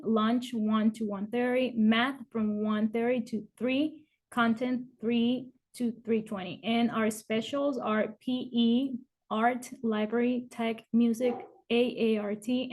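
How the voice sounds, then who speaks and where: A woman's voice: on the high side, steady tone, darkish tone, poor audio. A woman kindergarten teacher from Ecuador, introducing herself and listing the daily schedule and math topics, with frequent uhs. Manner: rattles off schedules quickly with uh fillers. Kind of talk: presenting a school info video.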